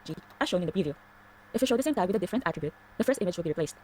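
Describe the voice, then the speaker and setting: A woman reading scripted tutorial narration, explaining things clearly step by step. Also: lowish voice, animated, racing, a little mellow, slight background noise.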